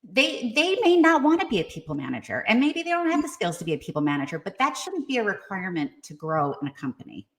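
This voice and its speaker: A woman's voice: medium pitch, big pitch swings, fast talker, few pauses, fairly bright tone. A woman from New York with a New York accent, speaking as an expert guest in a conversational interview, casual and emphatic. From New York state. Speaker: podcast guest, career coach and HR expert. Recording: echoey room sound.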